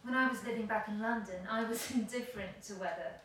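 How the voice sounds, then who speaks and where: A woman's voice: mid-range voice, fast talker, a bit bright, lightly acted. An actress in an audio play voicing a passionate, world-weary woman who speaks emphatically and emotionally. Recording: very echoey room, distant, faint noise.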